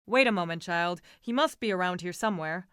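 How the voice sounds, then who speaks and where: A woman voicing a commanding, impatient young gentleman in a storybook, firm and emphatic. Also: on the low side, a little fast, clear, resonant tone, dry recording, clean studio sound, natural voice. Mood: brisk reassurance. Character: impatient, commanding young gentleman lover, reassuring his companion.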